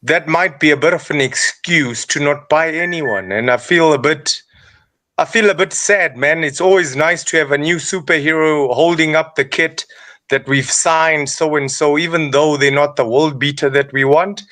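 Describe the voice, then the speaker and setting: A man from Zimbabwe joining a casual football chat as a guest, soft-spoken and wistful. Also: fairly high pitch, normal speed.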